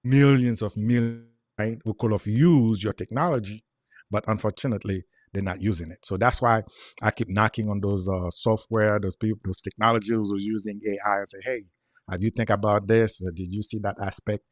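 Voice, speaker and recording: A man's voice: lowish voice, steady tone, brisk, no room sound, studio quality. A man in his forties from Haiti with a thick Haitian Creole accent, explaining earnestly as a guest in a casual chat and repeating words as he goes.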